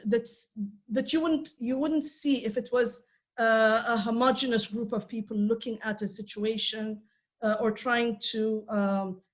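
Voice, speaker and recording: A woman in her fifties from Ethiopia, giving a conversational talk on a panel with frequent fillers. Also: on the high side. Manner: conversational, many fillers and restarts. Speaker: conference speaker.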